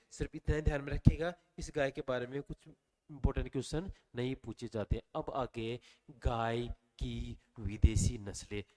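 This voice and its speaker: A man's voice: mid-range voice, mellow. A man with a slightly sore throat teaching an exam revision lesson, asking the class questions and answering them himself. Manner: quizzing listeners with repeated questions and answers. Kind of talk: online revision lecture.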